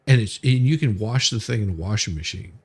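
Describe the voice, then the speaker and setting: A man's voice: lowish voice, animated, fast, breathy edge. A man in casual livestream conversation, telling anecdotes and repeating phrases as he builds his point. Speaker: musician, bass player, livestream co-host.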